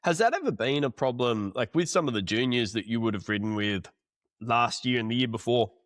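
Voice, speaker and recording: A man's voice: medium pitch, lively intonation, a little fast, a bit bright, no room sound, clean studio sound. A male podcast host asking long, rambling questions in a casual interview.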